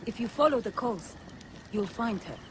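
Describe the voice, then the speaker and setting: An actor playing a wary street seller and informant in a video game, guarded and plain-spoken. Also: male voice, very high voice, expressive, normal speed, background noise. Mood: informative. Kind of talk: video game character acting.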